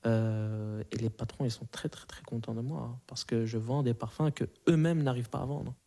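A young man in his twenties, a native Arabic speaker, answers interview questions. His voice is mellow and fairly flat in pitch. He pauses often, restarting his sentences. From Arabic-speaking background, raised in Belgium. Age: 20s. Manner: hesitant, many fillers and restarts. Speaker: interview guest.

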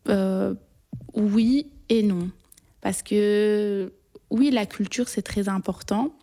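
A young woman from Belgium speaks in a soft-edged, mellow tone. Her pitch stays quite flat as she answers personal questions casually, pausing to search for words. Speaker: interview guest. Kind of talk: casual, personal interview talk.

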